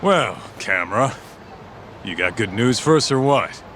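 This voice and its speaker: A man's voice: fairly low pitch, animated, unhurried, fairly bright tone. An actor playing an anxious, impatient male sidekick in a video game, casual and pressing. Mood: impatient, hopeful. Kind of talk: English video game voice acting. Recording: clear ambient noise behind voice.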